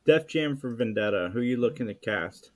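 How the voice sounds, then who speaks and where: Mid-pitched man in casual chat. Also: lively intonation, moderate pace.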